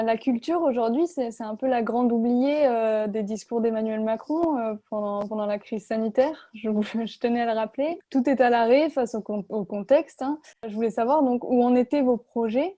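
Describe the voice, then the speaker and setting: A young woman in her twenties moderates the panel. Her voice is fairly high but mellow, and it is recorded close and dry as she asks her prepared questions formally. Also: no echo. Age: 20s.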